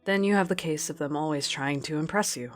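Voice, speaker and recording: A woman's voice: low-pitched, a little fast, soft background music, natural voice. A woman playing a stubborn, protective captain of a space voyage in an earnest radio drama performance. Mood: reflective.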